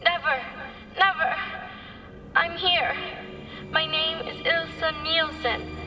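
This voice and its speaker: A frightened little orphan girl in a radio drama, solemn and desperate. Her extremely high, plaintive voice moves slowly and comes from far off beneath clear music. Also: fairly even intonation, bright, forceful tone, full character voice. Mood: desperate, pleading.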